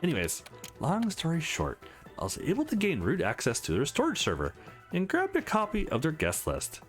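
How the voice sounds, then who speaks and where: A man's voice: a little high, huge pitch swings, moderate pace, soft background music, voice pushed up. A man voicing an eager, nerdy young woman hacker, playful and cocky, from a visual novel game. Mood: eager, confiding.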